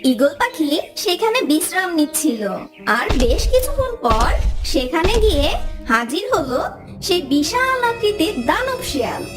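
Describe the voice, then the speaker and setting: Very high-pitched, animated woman storyteller. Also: moderate pace. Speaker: narrator. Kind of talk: scripted children's story narration. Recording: music under the voice.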